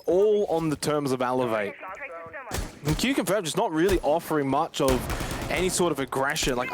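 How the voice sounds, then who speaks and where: A man's voice: fairly high pitch, noisy background. A man commentating live on a shooter match, conversational and repeating his points for emphasis. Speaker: esports commentator.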